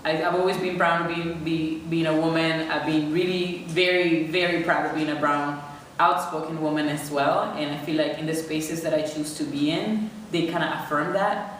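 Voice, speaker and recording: Low-voiced young woman from venezuela, thoughtful interviewee, lots of ums, echoey room, mic far off. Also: fairly even intonation, normal speed, a little hiss or hum. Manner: thoughtful, many fillers. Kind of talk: personal reflection.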